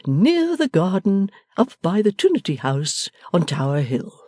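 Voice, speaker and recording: A woman reading the part of a timid, fond little father who speaks gently and hesitantly, rambling at times. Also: lowish voice, very animated, a little slow, no echo, clean studio sound, voice pushed up.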